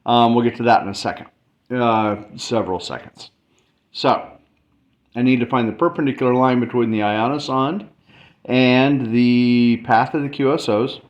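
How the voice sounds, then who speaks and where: A man's voice: medium pitch, normal speed. Casual man explaining, on a dull recording. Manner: informal, thinking aloud. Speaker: explainer presenting a math and radio demo. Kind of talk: casual tutorial walkthrough. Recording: dull lo-fi mic with faint background noise.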